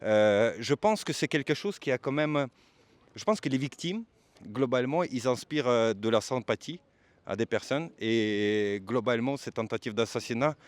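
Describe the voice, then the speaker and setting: Guy doing street interviews, polite and formal, normal pitch, really dry sound. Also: normal speed, no room sound. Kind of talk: vox pop interviewing and commentary.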